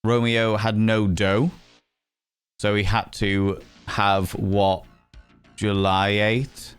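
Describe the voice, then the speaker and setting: A man's voice: on the low side, flat delivery, extremely slow, clear, resonant tone, soft background music. A man with a Yorkshire accent, chatting casually with his live-stream audience, cheeky and teasing, swearing freely. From Yorkshire, England.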